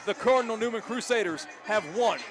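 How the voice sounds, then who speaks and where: A man doing excited, emphatic play-by-play football commentary with dramatic phrasing. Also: very high-pitched, a little fast, crowd noise. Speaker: play-by-play sports announcer.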